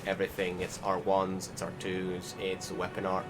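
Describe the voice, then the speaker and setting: Loud music plays behind a man with a fairly low voice. He gives casual gameplay commentary as a voice-over, rambling and feeling for his words. His language is informal, with the odd swear word. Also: monotone, normal speed. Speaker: game video commentator.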